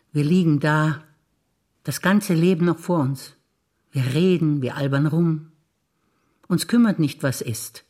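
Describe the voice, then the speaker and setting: An actress playing a wistful, playful woman recalling shared memories in a theatrical duologue, with deliberate, chant-like repetition. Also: low-pitched, warm tone, breathy edge. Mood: nostalgic. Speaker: stage actor. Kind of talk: theatrical spoken monologue of memories.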